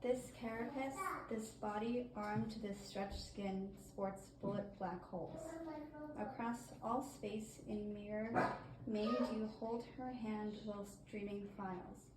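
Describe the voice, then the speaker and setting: Woman, amateur verse reader, slow pace, off-mic in a reverberant room with clear noise. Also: medium pitch, steady tone. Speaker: family member reading poetry. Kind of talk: amateur poetry reading. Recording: distant, echoey, noisy amateur recording.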